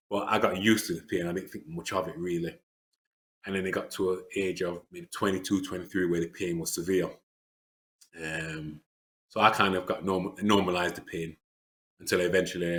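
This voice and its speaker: Low-voiced bright man. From England. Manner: earnest, with frequent fillers and restarts. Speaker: interview guest. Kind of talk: reflective conversational interview.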